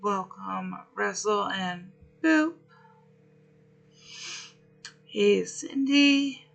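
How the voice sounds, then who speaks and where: A man hosting a laid-back stream, his voice worn hoarse and deeply gravelly with heavy fry. He talks slowly and unhurriedly, dropping friendly hellos to viewers between pauses. Faint music plays softly behind him. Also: animated, muffled recording. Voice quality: rough, gravelly. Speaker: livestream host. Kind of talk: relaxed gaming stream chat, greeting viewers.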